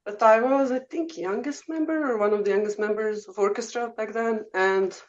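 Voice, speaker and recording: A woman's voice: a little high, normal speed. Serbian-accented woman, muffled audio. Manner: chatty, frequent "um" and "uh", laughs easily. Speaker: guest oboe professor. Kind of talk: casual interview storytelling.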